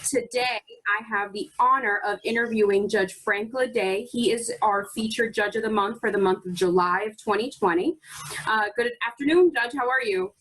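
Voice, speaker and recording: A woman's voice: a little high, normal speed, fairly bright tone, rough recording. A woman interviewer from a bar association asking polite, encouraging questions over a video call. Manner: polite, encouraging, repeats praise.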